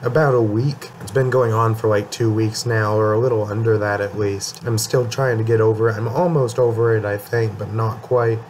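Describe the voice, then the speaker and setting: A man's voice: on the low side, steady tone, normal speed, few pauses, darkish tone, rough recording. Young male vlogger, voice worn from a cold, rambling delivery, faint hiss behind. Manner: rambling, many ums and restarts. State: getting over a cold, voice a bit worn. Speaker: music channel host. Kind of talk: casual album ranking talk.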